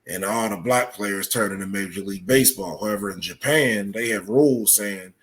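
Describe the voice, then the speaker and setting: A man's voice: lowish voice, fairly quick, fairly bright tone. A man speaking as a podcast guest, explaining his points step by step with examples in conversation.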